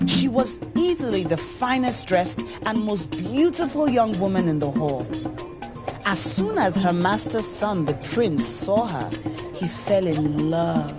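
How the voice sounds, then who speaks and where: A woman with a Nigerian accent narrating a scripted folktale in an expressive, dramatic storyteller's manner. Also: mid-range voice, a little slow. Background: music.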